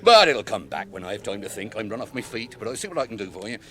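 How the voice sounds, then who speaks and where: An actor playing a flustered, forgetful innkeeper in a radio drama, rambling and folksy. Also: male voice, on the low side, sing-song, fast talker, fairly bright tone. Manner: rambling, distracted, folksy, breaks off mid-thought. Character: flustered, busy, forgetful male innkeeper, bustling and hospitable.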